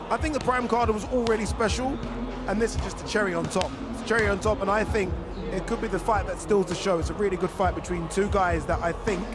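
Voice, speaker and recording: Excitable man hosting over loud music. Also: high-pitched. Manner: excitable, chatty, hypes the fighters. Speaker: event host. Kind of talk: live event hosting and commentary. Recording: music playing loudly behind.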